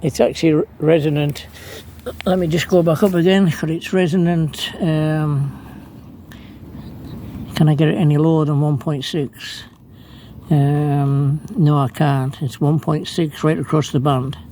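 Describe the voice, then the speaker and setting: A man's voice: on the high side, little pitch movement, a little slow, warm tone, slight background noise. A man narrating an unscripted hands-on demonstration as an amateur radio hobbyist, hesitant and thinking aloud as he works. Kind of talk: unscripted how-to vlog narration.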